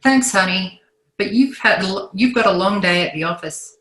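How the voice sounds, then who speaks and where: A woman's voice: mid-range voice, normal speed, fairly bright tone, natural voice. A woman playing a caring, protective sitcom wife and mother at a table read.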